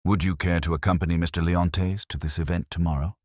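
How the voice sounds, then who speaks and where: A man voicing a shrewd, commanding gentleman detective in an audiobook, clipped and formal. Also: deep voice, steady tone, fast, no echo, studio quality.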